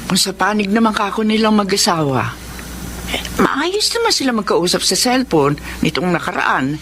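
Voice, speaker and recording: A woman's medium-pitched voice with a Filipino accent plays a concerned older parent. She muses with lively melody in radio-drama style over faint noise. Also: moderate pace. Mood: concerned, musing.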